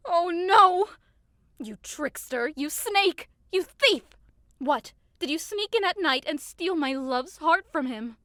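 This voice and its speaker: A woman's voice: very high voice, animated, takes it slowly, pushed and bright, no room sound, clean studio sound, full character voice. A woman playing a short, hot-tempered young woman hurt in love, melodramatic and indignant, in a modernized Shakespeare audio drama. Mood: furious, accusing. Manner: melodramatic, indignant, outbursts swinging to wounded pleading.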